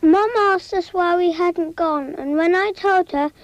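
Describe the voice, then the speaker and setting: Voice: very high-pitched, a little slow, dry recording, rough recording. An actor voicing a small child who narrates a cautionary cartoon in a simple, childlike storytelling way. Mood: earnest.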